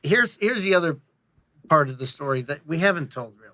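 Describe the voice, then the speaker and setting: A man talking casually as an interview guest, in an animated, rambling and jokey way, often interrupting himself. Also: a little high, fairly quick, no echo. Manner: casually profane. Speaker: interview guest, audio drama director and producer.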